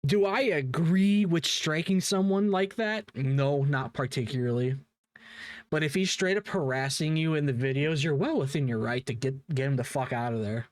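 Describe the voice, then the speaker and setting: A man's voice: on the high side, normal speed, no room sound. A man hosting drama commentary in a blunt, casual, profane way.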